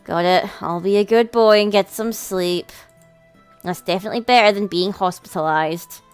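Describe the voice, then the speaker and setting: A woman's voice: mid-range voice, moderate pace, clear, resonant tone, close to an everyday voice. A put-upon older brother in a visual novel, read by a woman. He sounds weary and stubborn, protesting dryly over background music. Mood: resigned.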